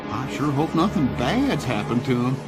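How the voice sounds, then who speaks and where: A man's voice: mid-range voice, sing-song, moderate pace, natural voice, poor audio. A man playing a chatty, uneasy ordinary worker in a video game. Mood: worried. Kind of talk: casual video game dialogue. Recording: music behind the voice.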